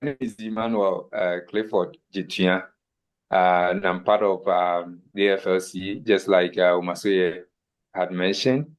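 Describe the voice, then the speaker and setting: A man's voice: low-pitched, level tone, a little slow, clean tone. A Ghanaian man with a Ghanaian accent, a Twi speaker, introducing himself hesitantly on a video call. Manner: hesitant, many fillers. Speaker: workshop participant.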